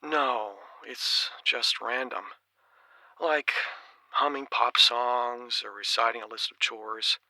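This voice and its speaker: A slow-talking, troubled male caller to a radio show. His voice is strikingly sharp and very dry, and he sounds hesitant and bewildered, in audio drama style. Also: medium pitch, fairly even intonation, no echo. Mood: uneasy, bewildered.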